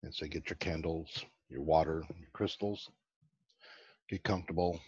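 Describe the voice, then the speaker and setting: A man leading a guided meditation as host, giving slow, soothing instructions with long pauses and a husky voice. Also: extremely low pitch, a little mellow. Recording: dull, lo-fi, roomy sound.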